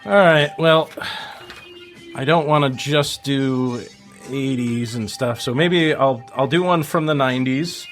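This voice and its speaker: A man's voice: medium pitch, unhurried, fairly bright tone. A middle-aged man co-hosting a podcast, chatting casually about music. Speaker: podcast co-host.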